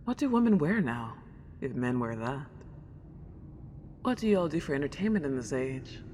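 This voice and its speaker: Woman acting an excitable ghost girl in long reverb. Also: rumbling low, moderate pace. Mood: thrilled, curious. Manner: old-fashioned genteel wording, gushing wonder. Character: excitable, polite century-old young ghost girl. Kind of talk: ASMR roleplay acting. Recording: long reverb, clear background noise.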